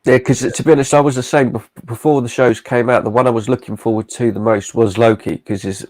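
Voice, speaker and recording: A man talking as a panelist in a casual live discussion, enthusiastic and quick to agree. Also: mid-range voice, fairly even intonation, darkish tone.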